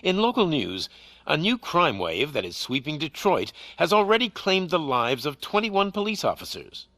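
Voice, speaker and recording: An actor playing a TV news anchor with a polished, upbeat newsreader delivery. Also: male voice, fairly high pitch, big pitch swings, moderate pace, sharp tone, no echo, exaggerated voice. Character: smooth male TV news anchor. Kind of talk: video game cutscene acting.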